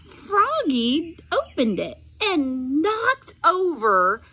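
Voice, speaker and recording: Expressive, high-voiced middle-aged woman reading aloud. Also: extremely slow. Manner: expressive, simple explanatory talk to children. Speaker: special education teacher reading aloud. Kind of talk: picture-book read-aloud with asides to children.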